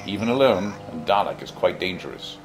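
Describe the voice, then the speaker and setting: An actor playing an eccentric, flippant time-travelling alien adventurer, whimsical, wry and fussily precise, in an audio drama. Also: male voice, low voice, lively intonation, fairly quick, over music, low-quality recording. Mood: wry, musing.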